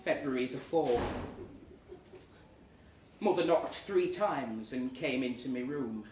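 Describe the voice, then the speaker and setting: A man's voice: mid-range voice, animated, takes it slowly, a little mellow, faint music behind. Echoey man reading a comic story. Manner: performs the narrator as indignant and sardonic. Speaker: story reader. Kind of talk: dramatic comic short story reading to a live audience. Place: live reading event in a pub. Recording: echoey, noisy pub room, distant mic.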